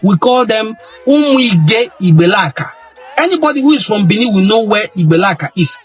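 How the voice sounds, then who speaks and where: A man's voice: high voice, expressive, normal speed, faint music behind. A middle-aged Nigerian man giving an impassioned monologue on history, emphatic and repeating phrases for stress. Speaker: cultural history commentator.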